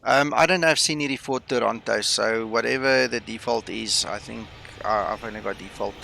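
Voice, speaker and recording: A man's voice: on the low side, moderate pace, creaky, bright, forceful tone. A man from South Africa with an Afrikaans-rooted South African accent, chatting casually with viewers while streaming a flight sim, full of fillers and greetings. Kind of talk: casual live-stream chat.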